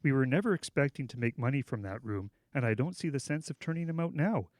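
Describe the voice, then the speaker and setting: An actor playing a shy, nervous, kindly pastor in an audio drama, hesitant, polite and placating. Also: male voice, medium pitch, expressive, fast talker, darkish tone, no echo, clean studio sound, natural voice. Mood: anxious, placating. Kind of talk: audio drama character acting.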